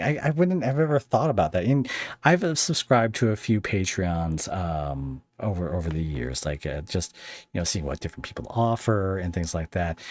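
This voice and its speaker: A man's voice: fairly low pitch, darkish tone. A man hosting a casual podcast interview, talking informally in a rambling, hesitant way.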